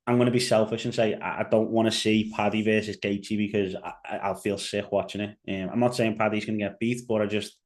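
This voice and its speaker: A man's voice: lowish voice, little pitch movement, a little fast, few pauses. A young man with a Liverpool accent giving casual solo commentary as a podcast host, rambling with fillers and restarts. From England.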